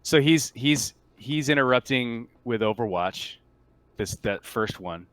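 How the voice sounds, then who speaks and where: A man's voice: medium pitch, lively intonation, measured pace, fairly bright tone, no room sound. A man talking casually as game master during a tabletop roleplaying session. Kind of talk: casual gaming talk.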